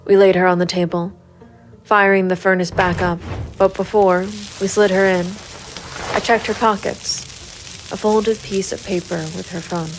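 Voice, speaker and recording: An actress playing a hard-boiled, brooding and quietly determined female private investigator in a gritty noir audio drama. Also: mid-range voice, level tone, normal speed, music in the background, close to an everyday voice, poor audio. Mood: cold, resolute. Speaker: voice actor narrating and playing the lead of an audio drama. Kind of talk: gritty noir audio drama acting and first-person narration.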